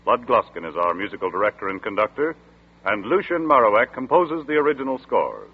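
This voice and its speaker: A male announcer reads credits in a formal, measured voice with a husky edge. The sound is tinny and lo-fi, the band-limited sound of a 1940s broadcast. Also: lowish voice, big pitch swings.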